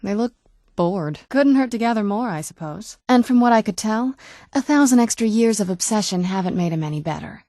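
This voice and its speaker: A woman voicing a guarded, wounded young vampire noblewoman in a video game, with dry understatement and hesitant pauses. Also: medium pitch, expressive, dark tone, no echo, close to an everyday voice. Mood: dry, wry. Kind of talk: video game character dialogue. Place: dry studio recording.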